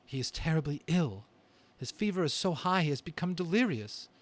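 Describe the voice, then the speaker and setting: A man's voice: a little high, lively intonation, moderate pace, pitched above the performer's own voice, lightly acted. A man voicing a caring, worried mother mouse with a tender, earnest manner in a storybook reading. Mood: grave worry.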